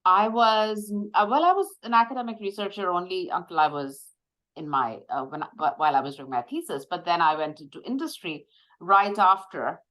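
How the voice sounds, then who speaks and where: A woman in her fifties from India, a medical device executive, talking through her career in a measured, reflective way with frequent pauses and fillers. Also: lowish voice, lively intonation, fairly bright tone, poor audio. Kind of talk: conversational interview.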